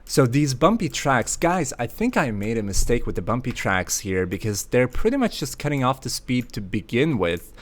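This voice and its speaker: A man from Sweden hosts a casual livestream and talks straight to his viewers. He is playful and chatty and breaks into laughter often. His voice sits at a middling pitch for a man. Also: very animated, normal speed, hardly any pauses. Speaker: livestream host.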